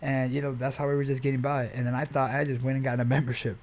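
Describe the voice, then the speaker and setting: A man's voice: mid-range voice, level tone, quick pace, darkish tone. An American man speaking as a podcast guest and jiu-jitsu trainer, telling stories casually in informal, slangy language.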